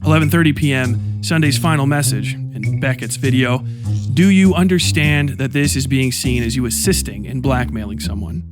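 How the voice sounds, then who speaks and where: A man's voice: medium pitch, normal speed. Man narrating a pointed video essay. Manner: pointed and accusatory. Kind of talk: scripted narration. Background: music underneath.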